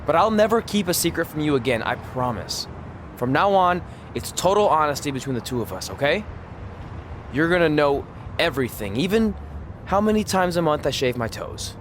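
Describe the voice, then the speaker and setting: Game hero: young, boyish, stubborn, slightly high voice, very animated, faint noise behind. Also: male voice, moderate pace. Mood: earnest, apologetic. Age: young adult. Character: earnest, stubborn young male aspiring pro wrestler.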